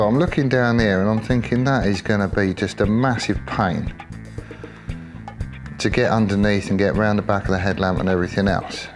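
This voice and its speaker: An English man with a fairly low voice talks through a hands-on bike build. He is chatty and colloquial, working out his ideas aloud as he goes, with music playing behind him. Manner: thinks out loud, chatty and colloquial. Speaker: motorcycle builder presenting a workshop project. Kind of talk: casual off-the-cuff workshop commentary.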